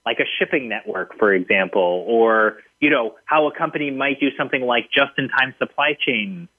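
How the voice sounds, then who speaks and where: A man's voice: medium pitch, moderate pace. Man on a tinny phone line. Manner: thoughtful, many fillers and restarts. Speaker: guest author. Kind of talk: conversational interview answers.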